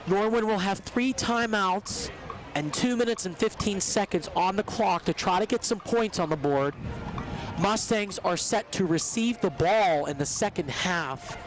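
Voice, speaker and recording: Squeaky high-voiced male play-by-play caller. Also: over music. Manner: quick, energetic calls of the action. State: under the weather. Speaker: play-by-play announcer. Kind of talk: live sports commentary.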